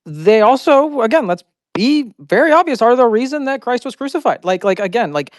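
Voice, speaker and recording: Man participant, smooth resonant voice, dry sound, loose slangy rambling. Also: very high voice, sing-song, a little fast, no echo. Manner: rambling, slangy, many fillers. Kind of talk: casual heated debate.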